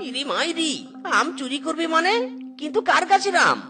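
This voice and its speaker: A woman's voice: high-pitched, lively intonation, moderate pace. A woman voicing a whiny, gullible male fox husband in a cartoon, with exaggerated exclamations. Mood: eager. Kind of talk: cartoon voice acting. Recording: cartoon music behind voice.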